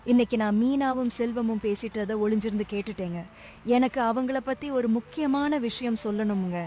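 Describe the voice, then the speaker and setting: A woman's voice: on the high side, a little fast, dark tone, a little hiss or hum, close to an everyday voice. A woman acting a compassionate wife in a story drama, earnest and urging. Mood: earnest concern.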